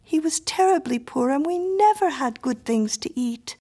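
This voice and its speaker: A woman's voice: high voice, animated, normal speed, mellow, pitched above the performer's own voice. A woman voicing an eerie, self-assured little girl in an audiobook, sweetly imperious and precociously polite. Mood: pleased, presumptuous. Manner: composed, precociously polite, presumptuous. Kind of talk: audiobook character acting.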